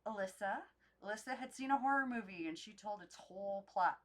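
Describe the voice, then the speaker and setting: A woman's voice: mid-range voice, a little fast. A young adult woman reading a children's novel aloud as an amateur audiobook narrator, unedited.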